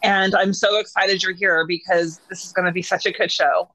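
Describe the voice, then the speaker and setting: Bright enthusiastic woman co-host. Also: fairly low pitch, steady tone, normal speed, no room sound, low-quality recording. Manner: enthusiastic, rambling. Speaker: podcast co-host. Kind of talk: casual chat.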